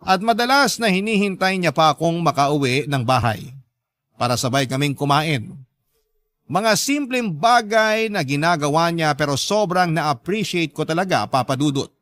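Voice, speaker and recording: A man's voice: a little high, a bit bright, no echo. A man playing a grateful, hard-tried young letter sender, narrating a radio drama in a heartfelt, confessional way with a Filipino accent.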